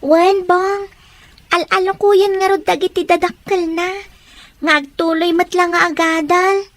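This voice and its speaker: A woman's voice: very high-pitched, fairly even intonation, unhurried, no room sound. An actress playing a polite and respectful young woman who is visiting her aunt, uncle and father in a radio drama.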